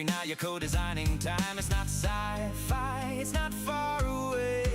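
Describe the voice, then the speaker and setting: A woman delivering rhymed pop song lyrics, partly sung, in a measured, rhythmic way. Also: lowish voice. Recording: loud pop music backing. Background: pop music.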